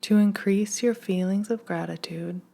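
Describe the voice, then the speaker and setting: A woman leading a scripted guided meditation in a gentle, hushed, soothing manner, leaving long pauses. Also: medium pitch, creaky.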